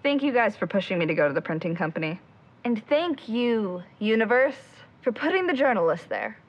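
A woman's voice: medium pitch, very animated, fairly quick, lo-fi sound. A woman playing a determined, outspoken young amateur investigator in an audio drama, speaking bluntly and emphatically. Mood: relieved, pleased.

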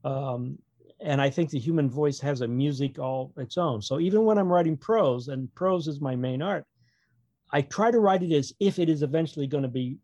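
A man's voice: on the high side, moderate pace, warm tone, dry recording, dull audio. Wry man chatting in a dry, close recording. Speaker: author, podcast guest. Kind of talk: casual interview chat about own writing.

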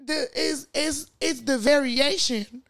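A woman's voice: high voice, slow. A woman of Nigerian origin raised in America, giving blunt, slangy opinions in casual podcast chat.

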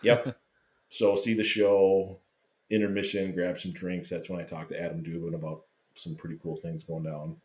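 A man casually telling a lively fan story, swearing freely and acting out what people said. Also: low-pitched, moderate pace. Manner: animated, swearing, acts out quotes. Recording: roomy echo.

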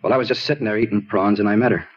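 Troubled, evasive young American played by a male actor: low husky voice, hurried repetitive delivery, old radio crime drama. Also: fairly even intonation, natural voice. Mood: rambling. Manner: hesitant, repetitive, insistent. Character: anxious, evasive young man confessing to murder. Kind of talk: 1950s radio acting. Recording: dull vintage 1950s radio recording.

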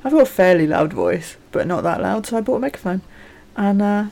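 A woman's voice: mid-range voice, big pitch swings, a little fast, gravelly, dark tone. A woman co-hosting a podcast, chatting casually in a candid, self-deprecating way. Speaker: podcast co-host. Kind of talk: casual chat.

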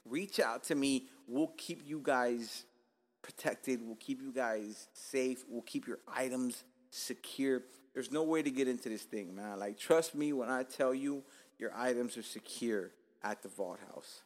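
A man's voice: mid-range voice, a little slow. Male podcast host, 30s, born in Cuba; loose, profane, joking delivery. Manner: profane, joking, laid-back street talk. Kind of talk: casual podcast chat.

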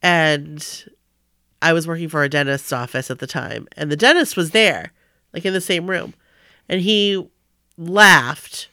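A woman's voice: deep voice, measured pace, bright, forceful tone, no echo, clean studio sound. A woman co-hosting a casual podcast, telling anecdotes and laughing often. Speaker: podcast co-host. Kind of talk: casual chat. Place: basement room under an air conditioner.

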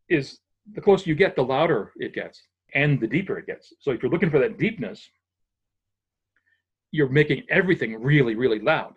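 A man's voice: medium pitch, slightly rough, dull audio. A man who works as a sound engineer, patiently explaining audio equipment in a casual, technical chat, often restarting his sentences.

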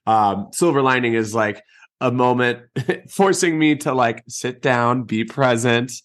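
A man's voice: mid-range voice, unhurried. A young man co-hosting a casual podcast, laid-back and joking at his own expense. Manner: laid-back, laughing, self-deprecating. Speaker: podcast co-host. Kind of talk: casual chat.

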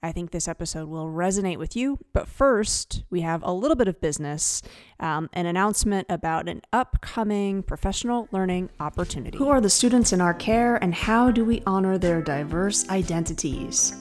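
A woman's voice: fairly low pitch, expressive, normal speed, darkish tone. A woman hosting an education podcast, reading announcements and introducing her guest in an enthusiastic way. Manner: enthusiastic, upbeat promo reading. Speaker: podcast host.

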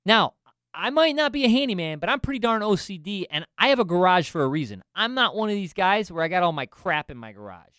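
A man's voice: high-pitched, big pitch swings, fast talker, fairly bright tone, clear, resonant tone, no echo, clean studio sound. A man in finance telling a self-deprecating story in casual chat, with lots of restarts and asides. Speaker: finance professional.

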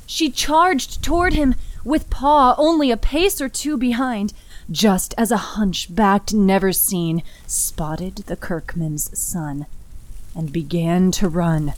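A woman narrating and hosting her own scripted fiction podcast, with expressive storytelling delivery. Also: fairly high pitch, rough recording. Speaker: writer, narrator and podcast host. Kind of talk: dramatic scripted story narration and host's outro.

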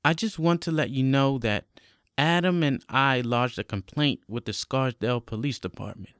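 A man's voice: medium pitch, normal speed, a bit bright, smooth, dry recording, clean studio sound, pitched above the performer's own voice, lightly acted. A man voicing a sympathetic, indignant woman in her late sixties in a dramatic story. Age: late 60s. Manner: concerned, sympathetic.

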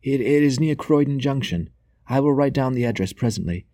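An agitated, furtive servant played by a male narrator in an audiobook story, lifted in pitch. He is deferential and pleading, stumbling over repeated words in his haste, with a close, dry sound. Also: expressive, a little fast, dark tone, no echo, natural voice. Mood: nervous, urgent. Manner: hasty, stammering, deferential.

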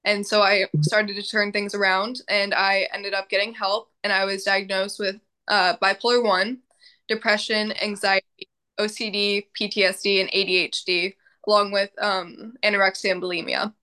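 A woman's voice: mid-range voice, flat delivery, moderate pace, pushed and bright, smooth. A young woman guest talking casually in a podcast interview, with many fillers and frequent laughs. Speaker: podcast guest, mental health advocate.

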